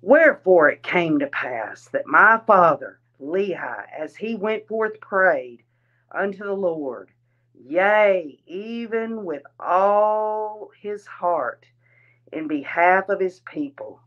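A woman reading a written text aloud in a halting way, breaking phrases into short pieces. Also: lowish voice, animated. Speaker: reader of a written account. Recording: dull, lo-fi sound.

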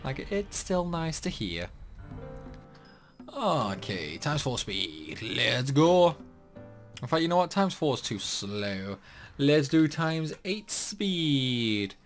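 A man's voice: mid-range voice, sing-song, slow pace, faint music behind. A young man giving rambling, casual commentary over a game playthrough. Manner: chatty, rambling, lots of likes and uhs. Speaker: gaming youtuber.

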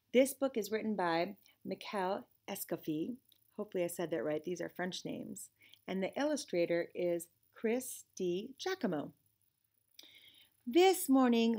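A middle-aged woman teacher reading a picture book aloud to children expressively, with conversational asides. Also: medium pitch. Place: classroom, indoors.

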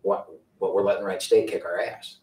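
A blunt older coach with a very low voice rambles through his answers, restarting and repeating words. He sits at a table mic some distance away in an echoey press room. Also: fairly even intonation, moderate pace, mellow. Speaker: head basketball coach. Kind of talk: post-game press conference answers. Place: press conference room with sponsor backdrop. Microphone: table mic, heard from a distance.

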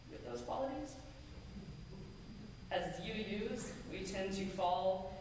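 A woman minister giving a measured, earnest sermon from a pulpit in a church sanctuary, with a pulpit microphone and a distant camera. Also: low voice, a little hiss or hum. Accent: American. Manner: measured, deliberate, earnest preaching with emphatic lists. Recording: echoey sanctuary from far off.

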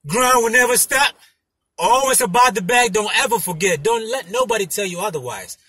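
Male driver-vlogger, high forceful voice with a husky edge, casual, emphatic and repetitive. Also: expressive, moderate pace, dry recording. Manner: emphatic, repetitive, punctuated with "you know". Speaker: delivery driver vlogger giving tips. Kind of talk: casual chat to camera. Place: inside a parked car. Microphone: phone mounted in front of him.

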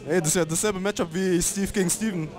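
A man's voice: high voice, fairly even intonation, fast, over music. A young man, commentating a card game tournament in a laid-back, slangy way.

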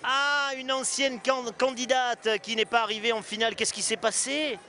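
A man's voice: very high-pitched, fairly even intonation, sharp tone, soft background music. A man in his forties with a Marseille accent, teasing and joking his way through vox-pop interviews in a busy indoor shopping mall with a handheld mic. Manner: teasing, playful, quick banter.

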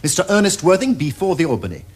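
An actor playing a witty, teasing young gentleman with an upper-class English accent, in a theatrical period comedy. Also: a little high, sing-song, fast talker. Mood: teasing, insistent. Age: young adult. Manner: theatrical, epigrammatic, crisp upper-class phrasing. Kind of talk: period stage comedy acting. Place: furnished studio drawing-room set.

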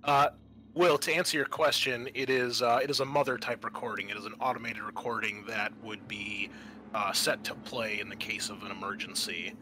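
A man running a tabletop roleplaying game as game master, narrating in a halting, improvised way. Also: fairly low pitch, steady tone, bright, forceful tone, low-quality recording. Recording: noticeable background noise.

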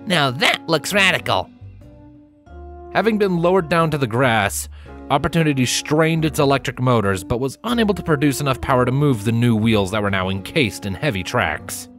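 A man reads scripted fiction as narrator, with lively, wide-ranging intonation. Music plays softly behind him. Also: medium pitch, a little fast.

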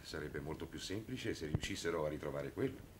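Very deep-voiced, earnest priest. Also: male voice, animated. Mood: firm, persuasive. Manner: measured, articulate, firm. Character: calm, earnest priest defending his young charges. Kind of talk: 1960s Italian television drama acting. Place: studio set of a furnished room. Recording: noisy old television soundtrack.

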